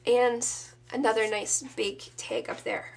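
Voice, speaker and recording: A woman in her thirties with a sore throat, casually showing off her handmade scrapbook album in a relaxed, chatty, enthusiastic way. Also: fairly high pitch, unhurried. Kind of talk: casual show-and-tell.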